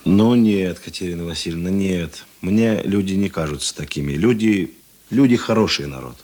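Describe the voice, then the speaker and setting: Deep-voiced weary middle-aged detective, husky, thoughtful, gentle, radio drama. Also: male voice. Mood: earnest, thoughtful. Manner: measured, self-deprecating, reflective. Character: weary, thoughtful, kind police investigator, middle-aged man. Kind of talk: Russian radio play acting.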